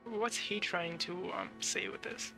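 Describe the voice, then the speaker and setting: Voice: mid-range voice, measured pace, vocal fry, over music. A game streamer giving casual, conversational live reactions, thinking aloud about the story.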